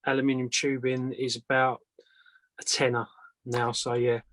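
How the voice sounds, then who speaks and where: A man's voice: mid-range voice, flat delivery, normal speed, fairly bright tone, dry recording. A man who makes antennas, answering questions casually as a guest in a plain-spoken, hesitant way. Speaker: antenna maker, guest speaker.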